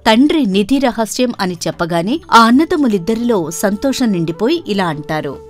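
A woman's voice: mid-range voice, expressive, moderate pace. Woman narrating over background music. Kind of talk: scripted story narration.

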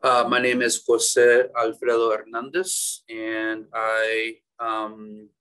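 A man's voice: medium pitch, completely flat delivery, takes it slowly, bright, forceful tone, smooth. A man in his fifties introducing himself on a video call panel from an office, with many fillers. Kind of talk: self-introduction.

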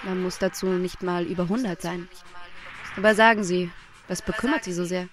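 A woman's voice: fairly low pitch, steady tone, normal speed, background noise, lightly acted. A woman acting in a surreal radio play as a dreamy, inquisitive figure, theatrical and questioning in her delivery. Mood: concerned, probing. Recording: loud music bed behind the voice. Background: music.

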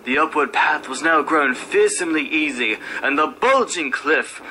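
A man's voice: on the high side, expressive, normal speed, sharp tone, low-quality recording. A man giving a solemn, theatrical dramatic reading of a horror story as narrator. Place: radio station room. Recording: faint background noise at radio station.

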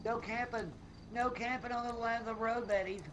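A man with a high voice talks casually through a game stream. He is exclamatory and folksy, cursing now and then. Clear noise with insect chirps runs behind his voice. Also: fairly even intonation, a little fast, fairly bright tone, low-quality recording. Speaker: gamer on a livestream. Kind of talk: casual gaming chat, swearing.

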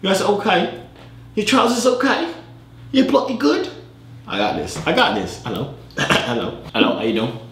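A young man hosting a comedy video, sliding between a loud, high-pitched mock British accent and a low, casual drawl. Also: big pitch swings, normal speed, long pauses, roomy sound. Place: bedroom studio, indoors.

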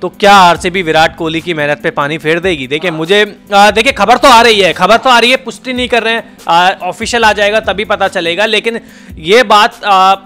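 A man's voice: high voice, fairly even intonation, normal speed, sharp tone, smooth, soft background music. A man in his thirties, a cricket analyst on a studio panel, thinking aloud and explaining at length into a handheld mic. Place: indoor TV studio set.

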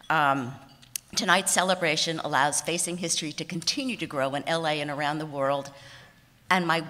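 A woman in her sixties reads her acceptance speech at a podium microphone, her voice low yet bright and forward. She is warm and self-deprecating, with light laughs and small restarts. The sound rings with the long reverb of a banquet hall. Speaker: award honoree giving an acceptance speech. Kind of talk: prepared speech read from notes. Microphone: podium microphone on a stand.

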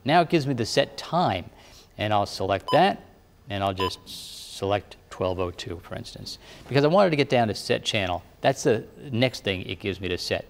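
A man working as a TV meteorologist, calmly explaining a device one step at a time. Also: on the low side, moderate pace, creaky, long reverb tail, a little hiss or hum. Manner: plain, patient instructive talk. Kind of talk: step-by-step demonstration. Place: TV studio weather set.

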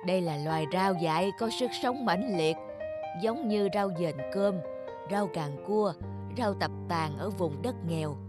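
A gentle elderly country grandmother voiced by a woman in an animated folk tale. She speaks tenderly and patiently, with a caring, worried temper. Music runs under the voice. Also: on the low side, unhurried, lightly acted. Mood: tender, explaining.

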